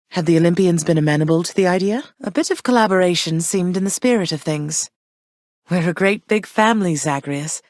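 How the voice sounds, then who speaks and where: An actress playing a warm yet firm, gently wry mother goddess in a video game, speaking in measured, formal, old-fashioned phrases. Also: lowish voice, no room sound, clean studio sound, natural voice. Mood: purposeful.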